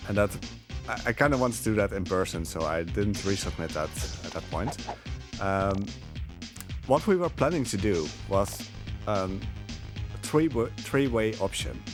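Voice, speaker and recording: A man with a fairly low voice gives casual speedrun commentary. He rambles and halts as he goes, with game music clearly playing behind him. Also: lively intonation. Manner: rambling, halting, chuckling asides. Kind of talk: casual live gameplay commentary.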